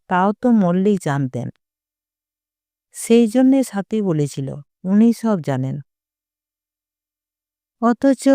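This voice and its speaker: A woman reading a literary short story as a scripted narration, in a measured, deliberate storytelling manner. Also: medium pitch, mellow, clear, resonant tone, dry recording.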